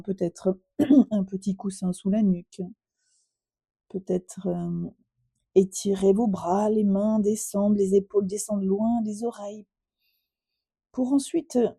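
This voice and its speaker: A woman yoga teacher guiding a relaxation meditation in a soothing, unhurried way with gentle pauses. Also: on the low side, slightly rough, no echo.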